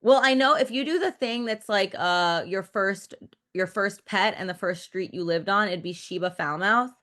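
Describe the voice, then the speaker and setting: A woman's voice: mid-range voice, moderate pace, fairly bright tone. A woman in her thirties, a director guest in a casual interview, talking in a rambling, loose way, full of "like" and restarts, and swearing frankly.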